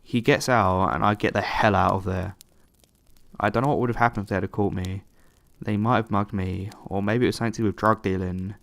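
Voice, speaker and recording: A man's voice: fairly low pitch, fairly even intonation, fairly quick, vocal fry, a bit bright, dry recording. A man reading a first-person story aloud in a plain, matter-of-fact way. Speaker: story narrator.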